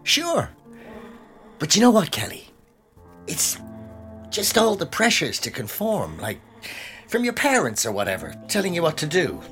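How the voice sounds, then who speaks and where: Theatrical workshop guru, wildly swooping. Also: male voice, fairly high pitch, wildly swooping pitch, measured pace, long pauses, fairly bright tone, faint music behind, exaggerated voice. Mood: coaxing, reassuring. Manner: coaxing, theatrical. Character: charismatic, pushy male poetry workshop teacher. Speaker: actor. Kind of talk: naturalistic radio drama acting.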